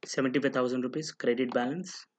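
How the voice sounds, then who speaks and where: A man narrates a software tutorial as an instructor, explaining step by step and often posing questions that he then answers. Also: medium pitch, steady tone, fast. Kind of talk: screen-recorded tutorial narration. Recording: noticeable background noise with clicking.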